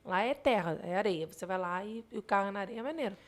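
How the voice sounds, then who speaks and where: A woman's voice: mid-range voice, lively intonation, quick pace. A woman with a Rio de Janeiro accent, chatting casually and explaining things as a podcast guest. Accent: Rio de Janeiro Brazilian Portuguese. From Brazil, grew up in Rio de Janeiro. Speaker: podcast guest, digital marketer. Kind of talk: casual interview chat.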